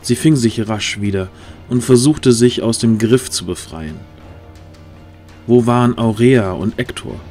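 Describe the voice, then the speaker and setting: A man's voice: medium pitch, measured pace, darkish tone, soft background music. A man narrating a scripted fantasy audio drama, reading the story as a narrator.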